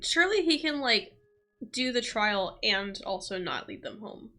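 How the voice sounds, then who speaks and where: A woman's voice: on the high side, expressive, unhurried, sharp tone. A woman streaming a game and giving casual, informal reaction commentary. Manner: informal, frequent "like".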